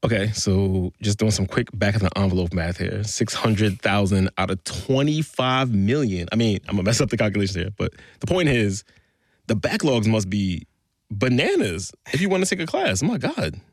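A man hosting a conversational news podcast, quick, wry and incredulous. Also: fairly low pitch, sing-song, dry recording, clean studio sound. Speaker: podcast host.

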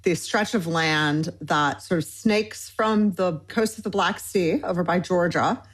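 A woman's voice: fairly low pitch, a little slow, breathy edge. Eager woman rambling through long lists. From Michigan, United States. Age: 40s. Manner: eager, talks in long winding lists with frequent fillers. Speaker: cookbook author guest. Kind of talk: conversational interview.

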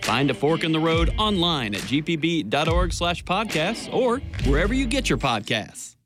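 A man's voice: fairly high pitch, big pitch swings, moderate pace. A man, a podcast host, reading a scripted radio promo. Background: guitar music.

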